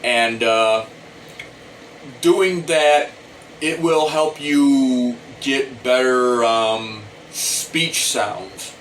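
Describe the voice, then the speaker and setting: A middle-aged American man gives an informal how-to talk at a slow, deliberate pace. He explains step by step and circles back over his points. The mic sits some distance away, with a faint hum of room noise behind him. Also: mid-range voice, a bit bright. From United States. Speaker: talk box player giving tutorial. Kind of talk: informal instructional talk to camera.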